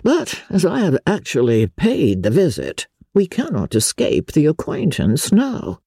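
A woman voicing a dry, unruffled middle-aged gentleman father in a classic novel audiobook, measured, deadpan and mock-solemn. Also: sits low, breathy edge, no room sound, pitched above the performer's own voice, full character voice. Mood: sly, composed.

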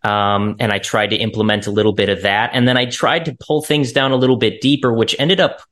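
A man's voice: medium pitch, fairly even intonation, fairly quick, fairly bright tone. A young man with a youthful voice, an actor talking casually in an interview. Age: young adult. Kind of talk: casual chat.

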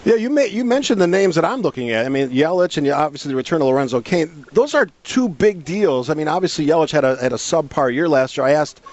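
A man's voice: a little high, lively intonation, quick pace, few pauses, darkish tone, no echo. A man hosting a sports radio interview, setting up questions for his guest in a conversational way.

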